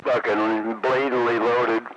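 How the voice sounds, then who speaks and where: A man's voice: medium pitch, little pitch movement, slow pace. A man making casual banter as a caller over CB radio. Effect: CB radio transmission. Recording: dull noisy CB radio transmission.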